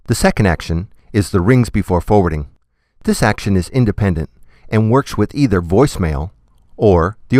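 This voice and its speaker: A man giving scripted, step-by-step software tutorial narration in a methodical, instructional manner. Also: sits low, dry recording, clean studio sound.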